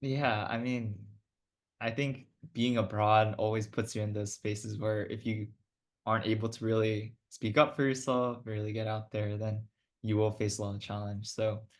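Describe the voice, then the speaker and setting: An interviewer asking relaxed follow-up questions, often padding sentences with "like" and "I guess". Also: medium pitch, moderate pace. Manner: informal, many "like" and "I guess" fillers. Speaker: oral history interviewer.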